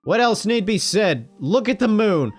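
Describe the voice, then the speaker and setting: A man's voice: high voice, unhurried, faint music behind. A man commentating a game playthrough, reading its text aloud and joking, with casual swearing. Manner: jokey, animated, swears casually. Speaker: game streamer.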